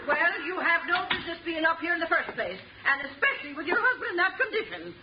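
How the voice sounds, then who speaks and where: A woman playing a nagging, busybody tenant in 1950s radio drama, with a shrill, scolding manner. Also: big pitch swings, fast. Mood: indignant. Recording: muffled, very noisy old radio recording.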